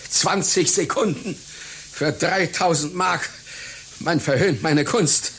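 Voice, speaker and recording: An actor playing a vain, paranoid circus clown in a satirical radio play, pompous and agitated in manner. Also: male voice, a little high, a bit bright, slight background noise. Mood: outraged. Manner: pompous, theatrical, agitated, swinging into despair. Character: vain, paranoid, melodramatic star circus clown artist, male.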